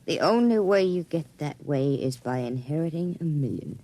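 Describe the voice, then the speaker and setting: An actress playing a frightened, hesitant gangster's girlfriend with a husky voice in an old-time radio drama. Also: low-pitched, animated, moderate pace, dark tone. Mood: afraid, doubtful.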